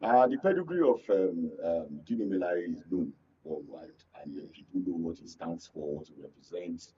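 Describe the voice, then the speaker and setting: A Nigerian man speaking as a guest in a political panel discussion, halting and repeating words for emphasis. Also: low voice, expressive, warm tone, husky. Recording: dull, slightly distant studio sound.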